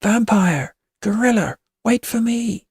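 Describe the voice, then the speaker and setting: A woman's voice: sits low, expressive, moderate pace, slightly rough, no room sound, voice pushed up, full character voice. A woman voicing a mean, bossy young girl in a witch costume, taunting in valley-girl slang. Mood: calling out.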